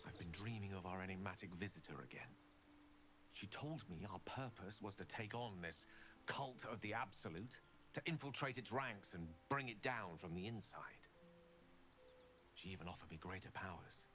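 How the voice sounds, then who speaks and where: A man playing an erudite, urbane wizard in a video game, speaking eloquently and deliberately. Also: low-pitched, expressive, sharp tone, soft background music. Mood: thoughtful, persuasive. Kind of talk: video game dialogue acting.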